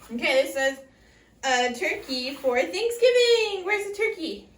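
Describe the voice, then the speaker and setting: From a distance in an echoey room, a woman reads to preschoolers as their teacher. Her voice is very animated and unhurried, and she uses simple phrases with questions for the children. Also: very high voice. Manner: simple short phrases with repeated prompts and questions. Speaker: English teacher of young children. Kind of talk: interactive preschool read-aloud lesson. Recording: echoey room, distant mic, faint noise.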